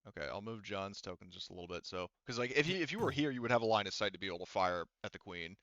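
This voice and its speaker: A man acting as game master, casually narrating and making rulings while thinking aloud in a halting way. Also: on the low side, animated, a bit bright, no room sound, muffled recording.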